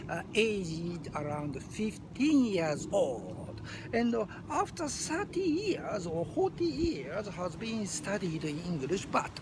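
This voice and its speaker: Older Japanese-accented man, video diarist, high lively voice, slow, halting; traffic noise behind. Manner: halting, many fillers and restarts. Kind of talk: unscripted video diary.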